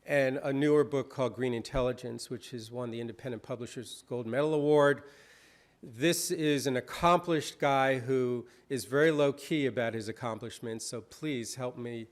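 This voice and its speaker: A man's voice: mid-range voice, normal speed. Man introducing a keynote speaker. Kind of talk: conference introduction. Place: lecture hall.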